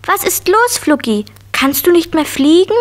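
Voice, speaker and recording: Excitable, high-voiced little girl. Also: normal speed, very noisy. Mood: worried. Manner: childlike, eager, exclaiming. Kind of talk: children's radio play acting.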